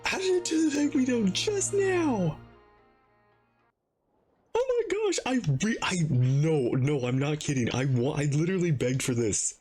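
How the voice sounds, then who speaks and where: A man reacts to a video game as the host. His delivery is excitable and full of exclamations, with pitch jumping around freely. Faint music plays underneath. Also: unhurried, husky. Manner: excitable, exclamatory, repeats phrases.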